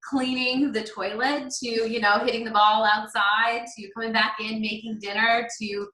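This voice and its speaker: A woman talks through a simple recipe in a chatty, upbeat, instructive way. Her voice is bright and forward. It has a noticeable echo from the room around her. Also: fairly high pitch, little pitch movement, normal speed. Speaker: guest demonstrating a recipe. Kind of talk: cooking demo chat on a video call.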